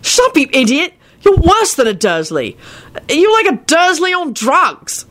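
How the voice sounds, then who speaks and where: A woman's voice: sits high, wildly swooping pitch, a little slow, a bit bright, voice pushed up, heavily acted. A woman voicing a rude, whiny young man who is stoned, shrieking and drawling. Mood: shrill, outraged.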